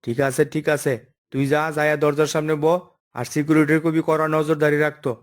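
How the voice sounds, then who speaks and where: A man's voice: on the high side, level tone, brisk, lightly acted. A man voicing a stern, by-the-book office boss in a comic cartoon, bossy and explanatory, with an eastern Bengali dialect. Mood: stern, instructing. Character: stern, by-the-book male office boss holding job interviews.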